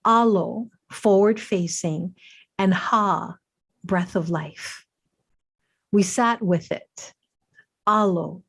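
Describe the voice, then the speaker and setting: A woman's voice: mid-range voice, a little mellow. A middle-aged woman from Hawaii presenting her doctoral research, telling it as a reflective story and lingering reverently on key words.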